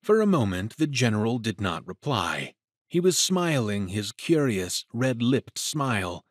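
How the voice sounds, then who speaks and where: A man narrates a dramatic story in a husky, mid-pitched voice. His delivery is very expressive, in a close, dry recording. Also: a little slow, dry recording.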